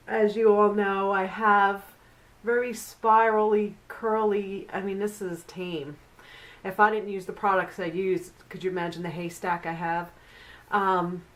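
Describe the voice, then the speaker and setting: A middle-aged woman hosts a casual beauty review vlog, and she sounds nasal and congested from a flu. She talks in a chatty, rambling way, with lots of fillers along the way. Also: medium pitch. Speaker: beauty vlogger.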